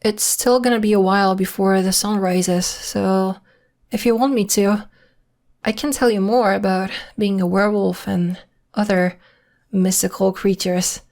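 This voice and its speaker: A woman playing a young, polite and mysterious half-werewolf in an ASMR roleplay, speaking in a gentle, hesitant, earnest way. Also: medium pitch, steady tone, a little slow, lightly acted. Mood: caring, protective. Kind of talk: ASMR roleplay, intimate one-sided acting.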